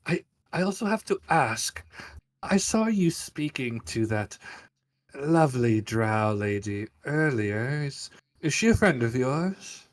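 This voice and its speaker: A man's voice: medium pitch, sing-song, slow pace. A man voicing a charming, flirtatious sea captain lord, a playful rogue with a teasing, polite manner in a tabletop game.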